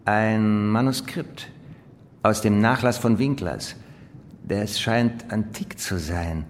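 Slow, low, dutiful official. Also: male voice. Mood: cool, deferential. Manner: deferential, measured, matter-of-fact. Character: dutiful, cool official reporting to minister. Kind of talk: German radio drama acting. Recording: long hall reverb with faint noise.

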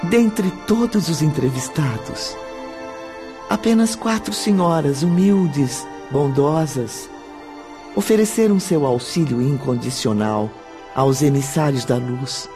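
A man's voice: medium pitch, lively intonation, slow pace. A man with a Brazilian accent narrating a radio drama in a solemn, formal storytelling manner. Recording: background music under the voice.

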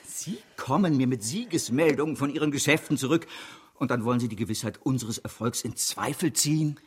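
A man's voice: mid-range voice, fairly quick, breathy edge, close to an everyday voice. An actor playing a grandiose, scheming bank director in a German radio drama, bombastic and impatient as he persuades. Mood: fervent, impatient.

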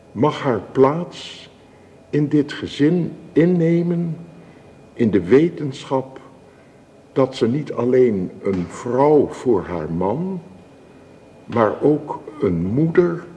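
A man playing a solemn village minister with formal, measured delivery in a Dutch radio drama. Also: mid-range voice, very animated, dark tone, full character voice. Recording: reverberant church acoustic, faint hiss.

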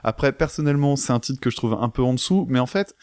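A man's voice: mid-range voice, animated, fast talker, darkish tone, smooth, no echo, studio quality. A man co-hosting a music podcast, giving an enthusiastic, casual album review with hesitant phrasing.

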